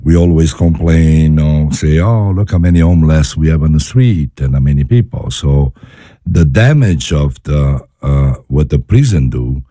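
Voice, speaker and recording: This is a man with an Italian accent and an extremely deep, dark voice. He speaks English as a second language, earnest and halting, with many fillers and restarts as he searches for words. The studio sound is dry and close. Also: lively intonation, no room sound. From Italy, born in Naples, raised in Milan. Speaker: guest, chef and restaurateur. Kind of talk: studio interview.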